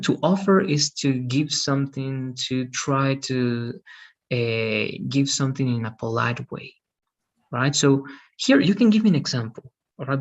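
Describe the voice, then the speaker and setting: A man's voice: medium pitch, lo-fi sound. A man in his thirties teaching an online English class, patiently checking understanding with frequent "okay?" and "right?". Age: 30s.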